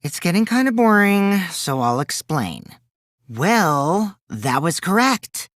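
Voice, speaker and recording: A man's voice: high voice, big pitch swings, slow, a bit bright, no echo, clean studio sound, full character voice. An actor playing a mischievous, taunting teenage boy in a video game, theatrical and teasing, with a youthful boyish voice that can turn from cutesy to cold. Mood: playful, explaining. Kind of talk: animated game dialogue in a murder-mystery trial.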